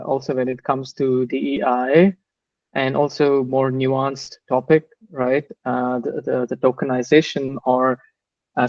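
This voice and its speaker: Indian-accented man, halting delivery, slightly dull audio. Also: mid-range voice, no echo. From Bangalore, southern India. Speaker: interview guest, engineering manager. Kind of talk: thoughtful interview talk.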